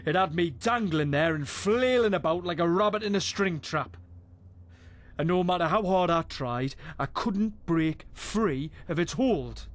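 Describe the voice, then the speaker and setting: An actor playing a boisterous, rough British adventurer in a fantasy game, telling tales in a salty, wry way. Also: male voice, high voice, expressive, unhurried. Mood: amused, boastful. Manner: salty, foul-mouthed, wry storyteller. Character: boisterous rough male adventurer spinning a monster tale. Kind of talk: in-character storytelling in a fantasy game.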